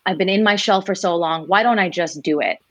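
A woman in her twenties, a singer guest telling her story in a relaxed interview, animated and acting out other people's lines as she goes. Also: on the low side, a little fast, dry recording. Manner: animated, quoting others in lively voices.

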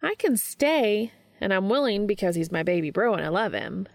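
A woman's voice: medium pitch, very animated, moderate pace, creaky, dry recording, clean studio sound. A woman voicing a brash, teasing older brother in an audiobook, blunt and casual. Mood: frank.

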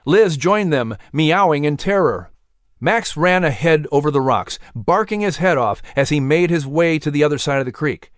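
A man reading a children's adventure story as narrator, expressive and suspenseful. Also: on the high side, moderate pace, no echo, studio quality. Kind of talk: dramatic scripted story narration.

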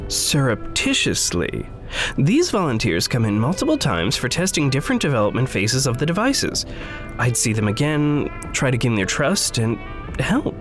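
An actor playing an earnest, stubborn idealist in an audio drama, speaking in precise, formal, halting phrases. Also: male voice, mid-range voice, wildly swooping pitch, over music. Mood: earnest, hopeful. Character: earnest, stubborn idealistic man going undercover at a company. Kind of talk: naturalistic audio drama acting.

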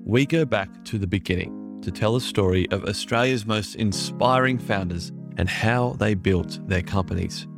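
A male podcast host reads his scripted introduction in a smooth, steady delivery. His voice is lowish, and plucked guitar music plays clearly behind him. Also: normal speed.

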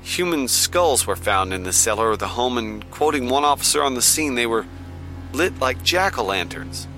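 An actor playing a grave, formal television news anchor reading a scripted bulletin in an audio drama, with a crisp announcer delivery. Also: male voice, mid-range voice, expressive, normal speed, pushed and bright, soft background music. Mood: grave, urgent.

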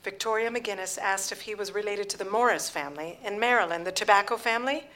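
A woman's voice: mid-range voice, fast, a bit bright, natural voice. An actress playing a prying, genteel society mother in a radio drama, theatrical and gushing. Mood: needling. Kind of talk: live radio drama acting.

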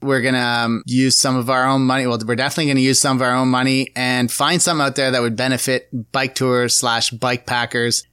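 A man's voice: medium pitch, flat delivery, fast, few pauses, bright, forceful tone, clear, resonant tone, no echo, studio quality. A man co-hosting a casual podcast, upbeat as he runs through announcements. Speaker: podcast co-host.

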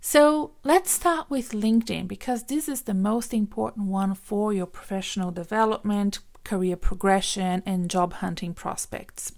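A woman career coach giving direct, practical advice in a casual monologue, with a voice she calls squeaky. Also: moderate pace, vocal fry, few pauses, a little mellow. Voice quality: says she has a squeaky voice.

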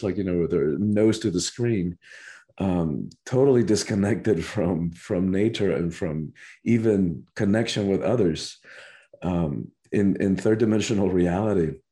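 A man's voice: low-pitched, normal speed, dark tone. A man born in Cuba, a spiritual teacher and author, answering interview questions earnestly and often restarting his phrases.

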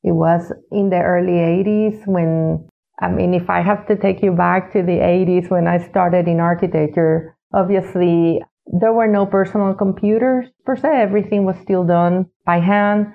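An older woman from Puerto Rico tells her story as a guest in an unhurried way, often stopping for a "you know." Her voice is low with a gravelly edge. It comes through a thin, phone-like line. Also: fairly even intonation. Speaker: architect and executive director, guest. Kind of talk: interview answers, reflective storytelling.

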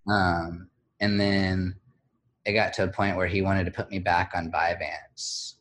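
A man speaking as an interview guest, candid and reflective, pausing often with fillers and restarts. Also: sits low, level tone, a bit bright. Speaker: guest, blogger.

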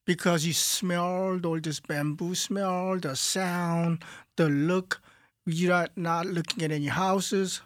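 A man from Korea with a Korean accent, a guest artist in a radio studio interview, speaking calmly and haltingly as he searches for words. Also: high-pitched, no room sound. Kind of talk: calm interview talk.

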